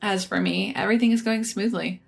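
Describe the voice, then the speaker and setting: A woman narrating a scripted first-person revenge story in an even, measured reading. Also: mid-range voice, vocal fry.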